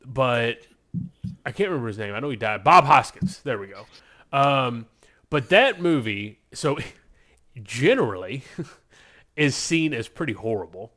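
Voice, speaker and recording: A man's voice: mid-range voice, lively intonation, unhurried, long pauses, bright, forceful tone. A man hosting a podcast in a loose, informal, conversational way, rambling as he goes. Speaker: podcast host. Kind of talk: casual podcast chat.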